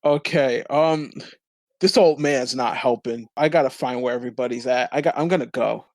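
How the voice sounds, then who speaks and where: A man's voice: medium pitch, normal speed, no echo. A man chatting casually as a player in a tabletop game.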